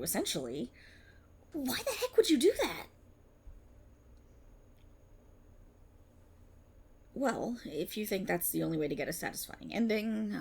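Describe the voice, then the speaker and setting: A woman playing a sardonic, menacing, resentful police officer in an ASMR roleplay, with a breathy voice and a mocking, theatrical delivery. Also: mid-range voice, darkish tone, exaggerated voice. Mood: bewildered.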